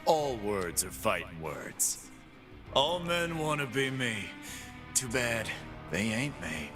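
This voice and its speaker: A man's voice: mid-range voice, sing-song, takes it slowly. An actor playing a cocky young male half-beast pit fighter who runs a gambling empire, delivering boastful trash-talk lines for a video game. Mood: menacing. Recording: game voice clips over loud music.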